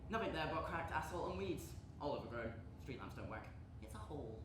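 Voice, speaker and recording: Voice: medium pitch, expressive, a little fast, lightly acted. A young actor playing an eccentric, evasive underworld landlord in a live stage play, rambling and repeating with erratic emphasis. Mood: conspiratorial. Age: young adult. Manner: rambling, repetitive, erratic emphasis with sudden shouts. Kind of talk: live theatre monologue and dialogue. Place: echoey indoor performance space. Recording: roomy live stage recording with noise.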